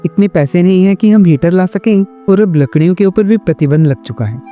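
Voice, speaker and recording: A man playing a worried, poor village father, earnest and explanatory, in an animated moral story. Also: high-pitched, brisk, soft background music, natural voice. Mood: worried, resigned.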